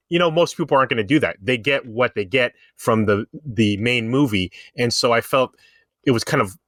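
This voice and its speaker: A man talks as a podcast co-host in a loose, explanatory way. His pitch sits in the middle of the male range, and the sound is dry and close. He rambles through his points like a fan among friends. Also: fairly bright tone, dry recording. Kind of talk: casual fan discussion.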